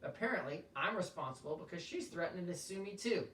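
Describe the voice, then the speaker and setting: A man's voice: high voice, animated, fast, a bit bright, slight background noise. A man reading a blunt mountain guide. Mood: matter-of-fact. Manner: matter-of-fact. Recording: echoey room, voice from a distance.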